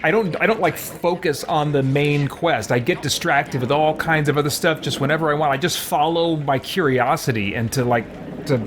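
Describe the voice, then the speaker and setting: Man musing through game commentary. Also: on the high side. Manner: musing aloud, halting. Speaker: gameplay host. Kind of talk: casual gameplay commentary. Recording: noisy game audio behind voice.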